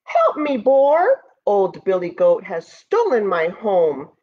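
A woman's voice: medium pitch, wildly swooping pitch, takes it slowly, phone-quality sound, heavily acted. A woman voicing a small, frightened, pleading badger from a children's storybook, crying out for help. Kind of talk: children's storybook character reading.

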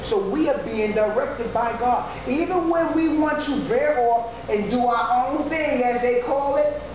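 A preacher giving an informal sermon, talking slowly and conversationally with frequent "yeah" and "you know". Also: medium pitch. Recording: echoey, dull recording with steady background noise.

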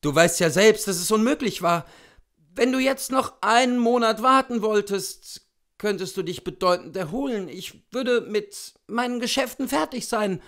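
A man voicing a distressed gentleman husband, earnest and pleading, in a dramatised classic story reading. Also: high-pitched, moderate pace. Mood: coaxing.

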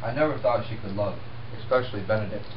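A young man reading his parts flatly for a home puppet show of a classic play. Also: lowish voice, fast talker, lo-fi sound, background noise. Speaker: amateur sock-puppet performer.

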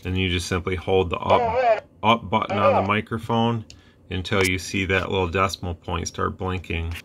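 A man hosting a casual radio demo at his workbench, talking in a relaxed, plain-spoken way with rambling asides. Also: low-pitched, normal speed, creaky. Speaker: radio repair channel host.

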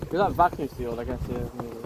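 A young man chatting casually with friends while resting on a hike, filmed on a handheld phone outside. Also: mid-range voice. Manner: chatty, quick questions, offhand. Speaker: vlogger on hiking expedition. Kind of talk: casual chat with friends outdoors. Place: outdoors on a grassy hillside. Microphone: handheld phone camera. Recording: outdoor wind and ambient noise.

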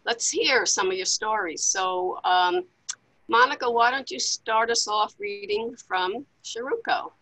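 A woman's voice: on the high side, sing-song, unhurried, pushed and bright, poor audio. A woman hosting a casual talk with authors on a video call, inviting guests to speak. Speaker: host moderating authors.

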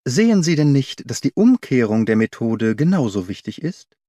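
A man's voice: medium pitch, big pitch swings, moderate pace, warm tone, no room sound, clean studio sound. A man voicing a brilliant, confident detective in an audiobook, explaining in an assured, didactic way. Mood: assured, lecturing. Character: brilliant male detective, confident, analytical, commanding.

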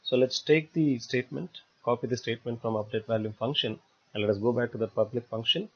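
A man narrating a step-by-step software tutorial in a halting, explanatory way. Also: medium pitch, fairly even intonation, husky. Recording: dull lo-fi voice-over recording.

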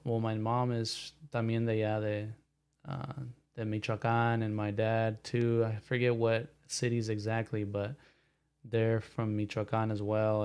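A young man from San Jose chats easily on a podcast, sprinkling Spanish words into relaxed, slangy English. His voice is smooth and resonant and stays quite level in pitch. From raised in San Jose, California. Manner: laid-back, slangy, mixes Spanish phrases into English. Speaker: podcast guest, musician. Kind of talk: casual conversation.

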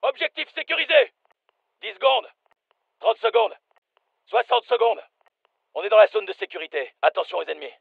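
High-pitched, urgent soldier. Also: male voice, sing-song, normal speed, creaky, long pauses, dry recording, phone-quality sound, heavily acted. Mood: brisk, focused. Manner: clipped, commanding. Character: urgent, brisk male special forces soldier. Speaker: video game soldier. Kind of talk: military combat callouts in a dubbed game.

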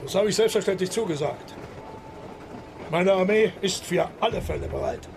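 An actor playing a self-assured German emperor in a historical radio drama, commanding and dismissive. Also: male voice, high voice, lively intonation, moderate pace, vocal fry, over music. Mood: resolute.

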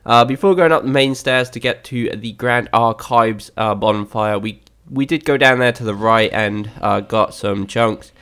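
A man's voice: medium pitch, a little slow, a bit bright, smooth. A young man with a slightly worn voice, casually narrating a game walkthrough with many fillers and restarts. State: slightly lost voice.

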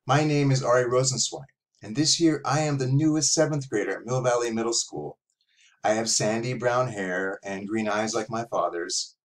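A man's voice: medium pitch, normal speed, natural voice, low-quality recording. Man reading as a self-conscious seventh-grade boy. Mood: reflective, earnest. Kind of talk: expressive reading of verse novel. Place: small room at home, indoors.

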